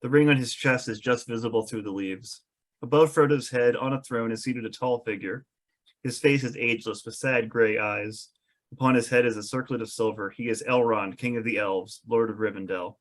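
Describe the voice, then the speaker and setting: A man reading scripted stage directions for a fantasy screenplay as narrator, in measured storytelling style. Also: mid-range voice, steady tone.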